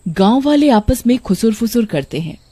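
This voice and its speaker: A woman giving scripted storytelling narration for a cartoon, in a mellow voice. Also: a little high, very animated, normal speed, slightly rough.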